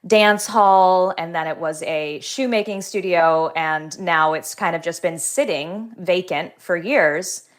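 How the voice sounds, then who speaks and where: A woman novelist talking in an interview, thoughtful, articulate and measured. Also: lowish voice. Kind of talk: book reading and conversational interview answers.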